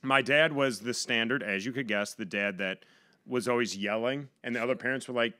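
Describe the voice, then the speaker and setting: A man's voice: medium pitch, fairly quick, pushed and bright, no echo, studio quality. An American man in his thirties telling stories casually and comically, as a guest on a podcast. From Buffalo, New York, USA. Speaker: podcast guest, stand-up comedian. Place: small home podcast room, indoors. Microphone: podcast boom microphone.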